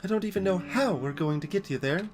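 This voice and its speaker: A let's player voicing a weary, grave robot guide from a video game, explaining things in a measured way. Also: mid-range voice, animated, a little mellow, natural voice. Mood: somber, worried. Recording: music behind the voice.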